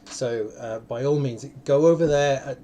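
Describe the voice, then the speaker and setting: Man, medium pitch, casual livestream host, rambling and stop-start, light noise. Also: warm tone. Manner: rambling, halting, self-interrupting. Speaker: host of an online live event. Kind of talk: casual, unscripted livestream talk. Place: indoor room, online video call.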